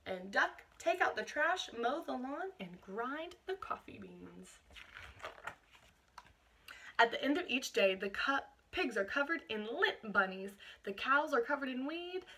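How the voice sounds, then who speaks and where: Bright, husky-voiced woman reading to children. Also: fairly high pitch. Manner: expressive, explains words and asks questions to young listeners. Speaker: teacher reading a picture book aloud. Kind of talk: children's read-aloud with explanations.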